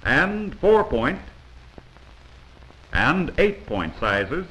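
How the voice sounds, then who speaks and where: A man's voice: a little high, very animated, very slow, bright, forceful tone, poor audio. A man narrating a 1940s instructional film from a script, in an instructive, emphatic manner on a vintage film soundtrack.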